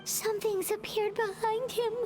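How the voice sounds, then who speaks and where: A woman voicing a tiny, excitable, childlike fairy companion in a video game, squeaky, cutesy and exclamatory. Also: normal speed, music in the background. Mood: alarmed.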